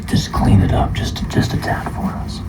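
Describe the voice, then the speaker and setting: A soft-spoken man doing an ASMR roleplay as a guitar shop appraiser, calm and measured, at times slipping into a whisper. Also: noisy background.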